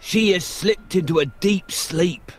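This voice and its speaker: A man playing a humble, forgetful old dwarf in a fairy tale, gravelly, husky and deferential. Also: high-pitched, slow. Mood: humble, weary. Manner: deferential, hesitant. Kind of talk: fairy tale cartoon acting.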